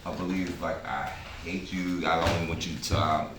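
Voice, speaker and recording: A man talking in a casual group discussion, halting and reflective, repeating phrases for emphasis. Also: sits low, flat delivery. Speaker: filmmaker leading a table read discussion. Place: indoor room at a table read. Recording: echoey room, distant mic, noisy background.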